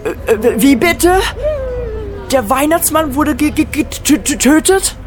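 A man's voice: extremely high pitch, lively intonation, a little slow, over music. A man playing a frazzled team leader giving out orders in an amateur comic audio drama. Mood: shocked. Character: frazzled male team leader organizing a rescue. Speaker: team organizer.

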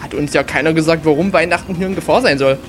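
A man's voice: on the high side, quick pace, a little hiss or hum. A young man performing scripted comic audio drama dialogue in a teasing manner. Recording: music bed behind the voice.

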